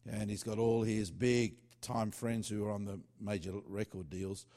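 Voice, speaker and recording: A man's voice: lowish voice, fairly even intonation, moderate pace, mellow. A man who writes worship songs, talking casually in a loose, rambling way with many fillers and restarts. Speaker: worship singer-songwriter.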